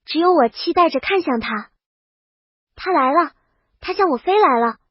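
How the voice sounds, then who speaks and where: A woman's voice: high-pitched, lively intonation, normal speed, no room sound, lo-fi sound. A woman narrating a comedic story in the first person, in storybook style. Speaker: audiobook narrator.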